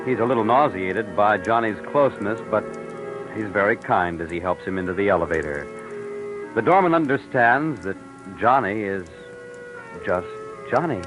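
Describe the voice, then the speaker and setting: A male narrator giving measured, dry scripted narration for an old radio drama. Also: fairly low pitch, rough recording. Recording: old radio recording with music.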